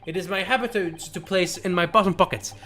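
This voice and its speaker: A man voicing an eccentric, pompous old curio dealer in a video game, with archaic, flowery phrasing and drawn-out words. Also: sits high, pushed and bright. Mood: proud. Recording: music behind the voice.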